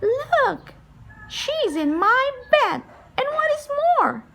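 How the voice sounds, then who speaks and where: A tiny storybook baby bear, whiny and indignant. The voice is squeaky and very high, swooping as it complains. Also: female voice, very animated, slow pace, vocal fry, pushed and bright, exaggerated voice. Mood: indignant complaining.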